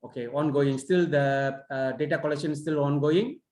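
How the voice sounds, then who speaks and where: Halting man chairing an online session. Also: on the high side, fairly even intonation, a little mellow. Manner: halting, with many fillers and restarts. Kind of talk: giving feedback at an online conference. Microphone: video call. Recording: roomy echo.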